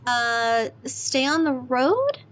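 Woman acting high-voiced, wry, uneasy young friend. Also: very animated, very slow, a bit bright, slight background noise, heavily acted. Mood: nonchalant. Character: young woman, game but uneasy thrill-seeking best friend. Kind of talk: horror audio drama dialogue.

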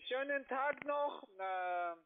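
A man with a foreign accent speaks in a very high voice at a slow, halting pace. His talk is crude. The sound is a narrow phone line. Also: animated, slight background noise. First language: not German. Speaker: abusive phone caller.

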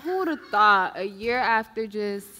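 Woman acting an eager young girlfriend, stage comedy, forceful bright tone, swinging pitch, faintly noisy distant recording. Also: animated, measured pace. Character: eager, doting young girlfriend planning a future. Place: indoor theatre stage, far camera.

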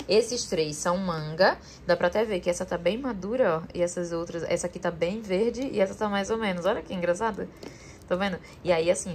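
A woman's voice: mid-range voice, darkish tone, slight background noise. A young woman chatting casually to her viewers while sorting food in her home kitchen, filmed by a camera on the counter. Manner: chatty, addresses viewers often. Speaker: vlogger. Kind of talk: casual kitchen vlog chat.

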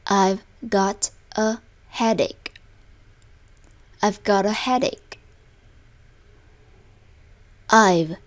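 Female language-lesson narrator, medium pitch, very slow scripted read with careful word-by-word enunciation. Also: dull audio. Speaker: language teacher.

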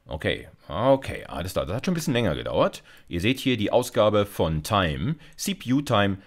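A man's voice: fairly low pitch, sing-song, moderate pace. A man in his fifties giving an informal, conversational screencast lecture at his computer, checking in often as he explains. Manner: conversational, with frequent "okay" and "ja" check-ins. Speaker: university computer science lecturer. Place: indoors, recorded at a computer desk.

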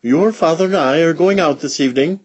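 High breathy mother pig, fussy and flustered, storybook voice, put on by a man, husky edge, swooping. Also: fairly quick, a little mellow, lightly acted. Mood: bustling. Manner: bustling, flustered. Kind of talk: storybook reading.

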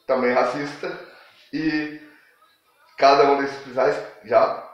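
Male Brazilian vlogger, slangy and self-deprecating, dull lo-fi sound with heavy room echo. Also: medium pitch. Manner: informal, self-deprecating, rambling. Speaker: YouTube channel host. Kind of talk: casual opinion vlog, slangy. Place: small dim room at home, indoors.

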